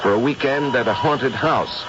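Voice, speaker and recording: An actor playing a courtly, avuncular older gentleman who investigates ghosts, in a polite, hesitant 1970s radio drama style. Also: mid-range voice, moderate pace, natural voice. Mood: genial, amused. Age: middle-aged or older. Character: genial, courtly older gentleman psychic detective. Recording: muffled, noisy old radio broadcast.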